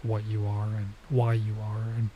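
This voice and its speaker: A man's voice: fairly low pitch, monotone, measured pace, dull audio, slight background noise. A man doing a let's play, reading a visual novel aloud and breaking off for casual side comments. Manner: stops to remark on and reread the text.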